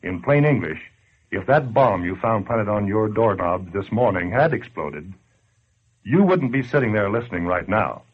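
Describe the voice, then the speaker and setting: Streetwise tough guy, low husky voice, swooping sardonic delivery, vintage radio drama, dull lo-fi recording. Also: animated, moderate pace. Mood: blunt, urging. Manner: blunt, sardonic, colloquial. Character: tough, slangy streetwise man scolding complacent listeners. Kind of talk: 1940s radio acting, direct address to listener.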